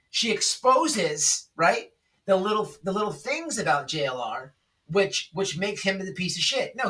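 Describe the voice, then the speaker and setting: A man's voice: high voice, bright, forceful tone, distant mic, poor audio. A man giving casual, off-the-cuff drama commentary as a host, speaking emphatically, repeating himself and often checking in with the listener. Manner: emphatic, repetitive, checks in with "okay?" and "right?".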